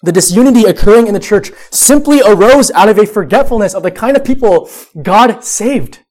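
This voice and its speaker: Young male youth pastor, high voice, stuffed up with a cold, earnest sermon delivery. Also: expressive, a little fast, darkish tone, rough recording. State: sniffling with a cold. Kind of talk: sermon to a high school group.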